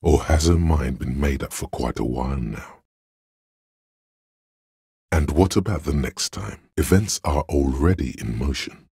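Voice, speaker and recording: An extremely low, husky voice of pure logic speaks inside the player's head in a video game. It is gravelly and very dryly recorded, with no room around it. The delivery is deliberate, analytical and condescending. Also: male voice, a little mellow, dry recording, full character voice. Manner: measured, deliberate, dry, superior. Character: inner voice of cold logic, a man's analytical, condescending mind.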